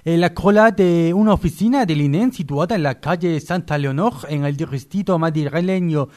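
A man's voice: a little high, moderate pace, a little mellow, lightly acted. A man playing a French male co-newsreader reading mock news in a radio comedy sketch. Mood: mock serious.

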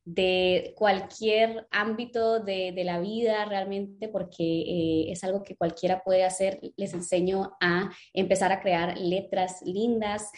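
A woman's voice: medium pitch, steady tone, takes it slowly, hardly any pauses, darkish tone. A woman from Guatemala, a lettering teacher and business owner, telling her story in a casual interview with frequent fillers.

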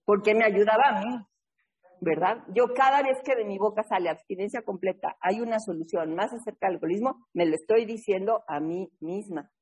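A woman from New England leading an online recovery workshop, telling stories in a lively, casual way and often checking in with her listeners over a video call. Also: mid-range voice, a little fast, husky. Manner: lively, keeps checking in with a tag question. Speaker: recovery workshop leader and sponsor. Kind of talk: casual storytelling talk in an online support meeting.

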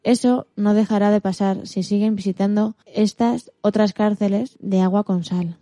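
A woman's voice: medium pitch, steady tone, normal speed, dark tone, no echo. A woman with an accent from Spain co-hosting a radio show, reading scripted narration meant for all ages. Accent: Spanish from Spain.

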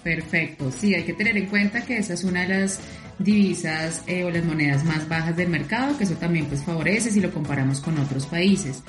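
A woman's voice: fairly low pitch, fairly quick, roomy sound, faint music behind. A Colombian woman interviewing a guest in a casual, upbeat way, full of fillers. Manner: upbeat, fillers like "pues" and "súper". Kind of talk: casual interview over a call.

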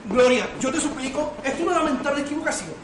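A man's voice: very high voice, expressive, fast. A man playing a flustered, pompous, blustering uncle in an amateur radio farce. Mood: flustered pleading. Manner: imploring, blustering. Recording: roomy, noisy, distant amateur recording.

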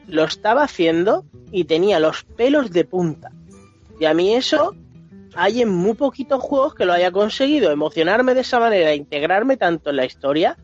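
A man's voice: high-pitched, breathy edge. A man with an accent from Spain chatting casually and bluntly on a video game podcast, swearing freely and speaking with emphasis. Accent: Spanish from Spain. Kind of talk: casual chat. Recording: dull lo-fi sound, music behind.

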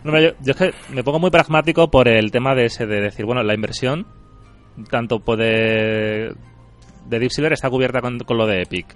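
A man's voice: mid-range voice, normal speed, soft background music. A man from Andalusia hosting a casual podcast, talking pragmatically and rambling with frequent restarts. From Andalusia, Spain. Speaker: podcast host.

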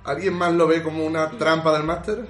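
A man's voice: on the high side, flat delivery, fairly quick, fairly bright tone, close to an everyday voice. A man playing a wary, grumbling adventurer in a fantasy radio play. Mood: skeptical. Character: wary, grumbling male adventurer who knows the land. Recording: echoey room, faint music behind.

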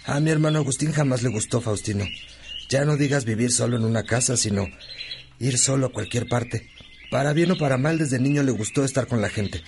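An actor playing a devoted brother fondly recalling family in a radio drama, with birds singing behind him. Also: male voice, mid-range voice, a little fast, darkish tone, a little hiss or hum, lightly acted. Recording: clear birdsong ambience behind the voice.

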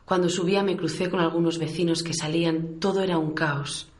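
A man's voice: a little high, fairly even intonation, fairly quick, lightly acted. A man playing an anguished, tender survivor caring for a loved one, acting and narrating in a radio serial. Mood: sorrowful recounting. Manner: tender, distressed. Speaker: actor in an audio drama.

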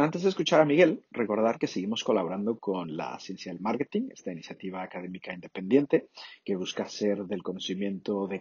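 A man's voice: lowish voice, a little mellow, breathy edge, no echo. A man reading sponsor and show announcements for a podcast, loosely and with many fillers. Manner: loose, filler-laden delivery. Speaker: podcast co-host. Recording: dull lo-fi sound.

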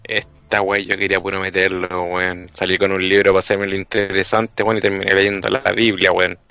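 A man's voice: low voice, little pitch movement, brisk, sharp tone, clear, resonant tone, dry recording, soft background music. A man voicing a lecherous, foul-mouthed young thug fresh from prison, with slangy Chilean speech, in an improvised comedy radio play. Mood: sullen, crude.

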